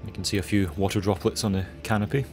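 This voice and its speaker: Low-voiced young man. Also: level tone, quick pace, darkish tone, soft background music. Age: young adult. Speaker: pilot narrating his flight. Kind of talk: casual voice-over commentary.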